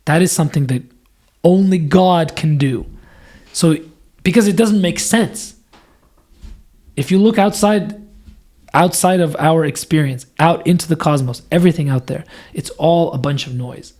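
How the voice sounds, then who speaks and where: Scratchy-voiced deacon, earnest and thoughtful. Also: male voice, a little high, moderate pace, pauses often, darkish tone. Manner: earnest, builds ideas with repetition. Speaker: deacon guest. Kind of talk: thoughtful conversational explanation.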